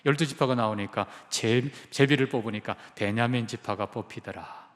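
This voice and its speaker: A man's voice: medium pitch, moderate pace. Man preaching in a reverberant church. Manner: emphatic, asks the congregation questions. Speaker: pastor. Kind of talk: sermon. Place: church sanctuary.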